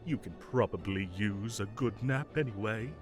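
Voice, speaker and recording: A man playing a mischievous, evasive fae king who is secretly fond, theatrical and halting when flustered, in an audio roleplay. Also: on the low side, over music, lightly acted. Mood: tender, bashful. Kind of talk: scripted audio roleplay acting.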